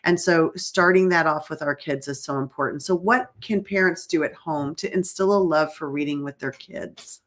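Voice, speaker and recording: A woman with a slightly low-pitched voice talks as the host of a conversational show. She speaks emphatically, driving points home by repeating them. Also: moderate pace, hardly any pauses. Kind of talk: conversational talk show discussion.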